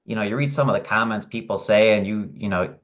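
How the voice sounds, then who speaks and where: A man's voice: lowish voice, fast talker. A man co-hosting an interview, chatting casually and agreeably with lots of fillers. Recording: dull lo-fi sound.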